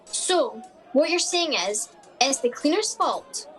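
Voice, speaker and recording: Dry, patient interviewer, high voice, deadpan questioning, radio comedy. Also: female voice, takes it slowly, soft background music, rough recording. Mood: dry, probing. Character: dry, patient time-travelling interviewer with a microphone. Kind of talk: radio comedy play acting.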